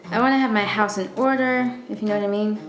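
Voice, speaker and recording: A woman's voice: medium pitch, steady tone, fast, close to an everyday voice. An actress playing a stressed young female student in a radio thriller, guarded, moody and intense, with a blunt, dramatic manner. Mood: anxious, wounded. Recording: echoey room, prominent music underneath.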